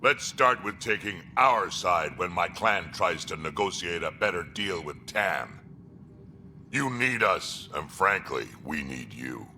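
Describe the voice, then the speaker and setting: A gruff alien warrior from a video game, with an extremely deep voice that grinds with gravel. The delivery is forceful, blunt and menacing, over light background noise. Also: male voice, expressive, slow pace, exaggerated voice. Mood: blunt, firm. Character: gruff, aggressive male alien krogan clan warrior.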